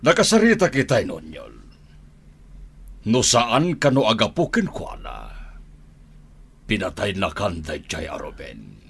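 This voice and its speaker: A man acting a stern, scolding older father in a radio drama, deliberate and needling, with an Ilocano accent. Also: lowish voice, wildly swooping pitch, creaky, a bit bright, slightly rough, heavily acted. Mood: reproachful.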